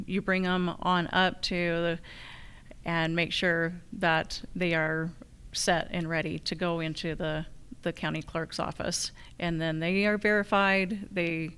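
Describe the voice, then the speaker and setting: Female candidate, slightly low and very clean voice, halting forum delivery. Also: fairly bright tone, smooth. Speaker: challenger candidate for county assessor. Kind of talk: forum answers. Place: live public forum on a college campus.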